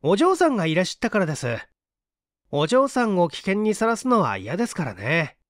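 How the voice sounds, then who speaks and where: A man voicing an earnest, quick-witted young male assistant who speaks urgently and politely in a dramatic story reading. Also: high-pitched, wildly swooping pitch, dry recording, studio quality. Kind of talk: dramatic audiobook character reading.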